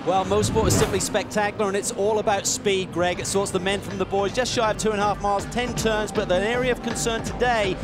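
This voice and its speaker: A man's voice: high voice, steady tone, fairly quick, few pauses, music in the background. A man in a broadcast booth giving emphatic, explanatory analysis as color commentator during a live race.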